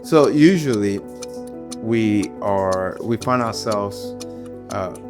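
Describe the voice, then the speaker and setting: A man's voice: on the low side, expressive, takes it slowly, music in the background. A man hosting an interview, asking quiz questions in an easygoing way with lots of fillers. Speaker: podcast host.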